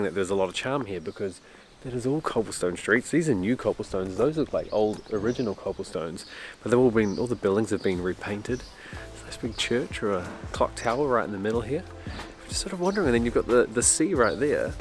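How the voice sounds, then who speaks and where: A man in his thirties vlogging on a trip, chatty and excitable, with bursts of loud enthusiasm. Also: lowish voice, fast, soft background music. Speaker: travel vlogger. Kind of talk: casual travel vlog chat. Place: outdoors on a windy island and village streets. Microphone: camera mic, handheld selfie framing.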